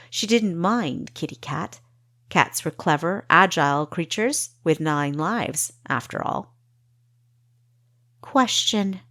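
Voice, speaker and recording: Woman reading an audiobook story, lowish voice, real slow and careful pace. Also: no echo, clean studio sound. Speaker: author and audiobook narrator. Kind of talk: scripted story narration.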